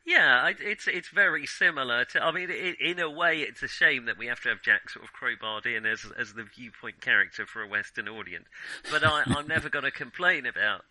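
A man casually discussing films as a podcast co-host, talking in a halting, thinking-aloud way with an amused, chuckling edge. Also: mid-range voice, lively intonation, sharp tone, dry recording, poor audio.

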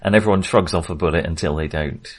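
A man's voice: low voice, fast talker. A man co-hosting a film podcast in casual conversation, tentative and understated, often qualifying what he has just said.

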